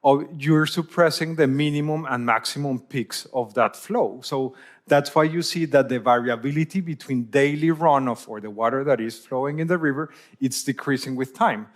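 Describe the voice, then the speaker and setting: A man's voice: medium pitch, normal speed. A man from Colombia, giving a conference presentation as a hydrologist and often repeating words as he searches for phrasing.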